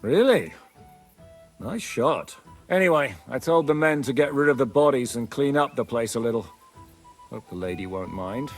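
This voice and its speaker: An actor playing a practical, plain-spoken leader of a medieval band in a naturalistic game cutscene. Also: male voice, mid-range voice, expressive, moderate pace, faint music behind. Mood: matter-of-fact. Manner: blunt, matter-of-fact.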